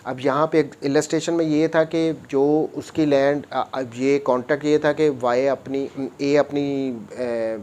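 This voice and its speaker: A male lecturer with a Pakistani accent teaches at a very measured, slow pace. His pitch sits in the middle for a man. He reads formal English text and explains it in Urdu mixed with English, going back over each point methodically. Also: fairly even intonation, a little mellow. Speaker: law lecturer. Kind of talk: explanatory lecture, reading statutes aloud and explaining them.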